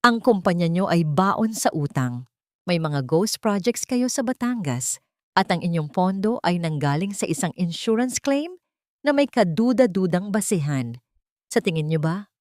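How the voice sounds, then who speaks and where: An icy, regal wronged wife acted out by a woman narrator in an audio drama. She speaks low and formally, with cutting, emotionless control. The sound is dry. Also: darkish tone, no echo, close to an everyday voice.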